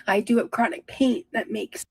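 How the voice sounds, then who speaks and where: A woman vlogger talking confessionally about herself in a halting, introspective way. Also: mid-range voice, gravelly, breathy edge, dry recording. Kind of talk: confessional personal talk.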